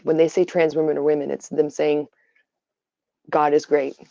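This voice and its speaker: Woman, raspy and tired, gravelly, dark voice, dull lo-fi stream audio. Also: low-pitched, level tone, normal speed, no echo. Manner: opinionated, many fillers. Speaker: livestream host. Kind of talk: casual livestream talk.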